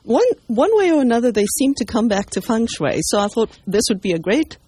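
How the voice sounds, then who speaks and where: A woman's voice: fairly high pitch, lively intonation, brisk, mellow, slightly rough. A woman with a Zimbabwean accent, a radio guest talking cheerfully and laughing often in a casual chat. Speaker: guest, feng shui entrepreneur.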